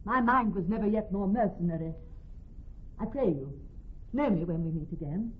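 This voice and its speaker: A woman's voice: mid-range voice, lively intonation, normal speed, husky. An actress playing a composed, witty woman posing as a young male lawyer, in formal, articulate Shakespearean radio drama style. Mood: courteous, composed. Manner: formal, articulate verse delivery. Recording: dull muffled old radio recording, faint music.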